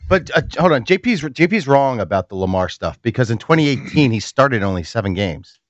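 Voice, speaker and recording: A man's voice: mid-range voice, big pitch swings, fairly quick, no room sound. Muffled man chiming in with stats. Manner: corrects with stats, stumbles over words. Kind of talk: casual sports talk. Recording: dull, muffled off-mic sound.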